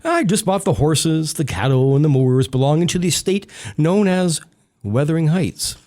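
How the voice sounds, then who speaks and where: A man performs a bitter stable boy turned rich gentleman in a literary radio drama. His voice sits a little high and swings widely. He is coolly formal, with a mocking edge. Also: expressive, moderate pace, close to an everyday voice. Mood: gloating, menacing.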